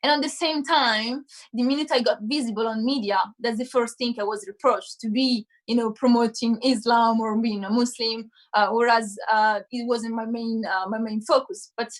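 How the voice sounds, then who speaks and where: A young woman from Italy with a fairly high voice talks candidly as an activist on a live panel. She piles on "um" and "you know" as she works out her points, speaking over a video call. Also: fairly even intonation, normal speed, hardly any pauses. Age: young adult. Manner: candid, talkative, many fillers. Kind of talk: panel discussion on a live stream.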